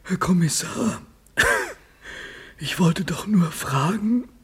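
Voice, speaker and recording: An actress playing a meek, timid visitor in a 1950s German radio play, halting and breathy. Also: female voice, fairly low pitch, lively intonation, heavily acted. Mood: sheepish, pleading. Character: meek, coughing caller at the inspector's door.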